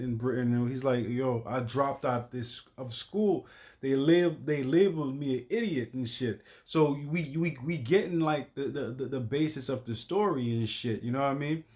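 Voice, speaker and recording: A man's voice: mid-range voice, moderate pace, a little mellow. A man giving casual, slangy reaction commentary, repeating himself with stammering restarts and frequent swearing. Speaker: reaction video host.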